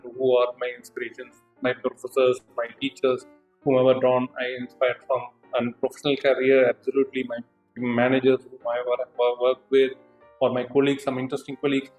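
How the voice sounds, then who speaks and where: A middle-aged man from southern India with a South Indian English accent, reflecting slowly with many fillers and restarts as an interview guest. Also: mid-range voice, darkish tone, roomy sound, rough recording. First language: not Hindi; a southern Indian language. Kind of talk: unscripted conversational interview.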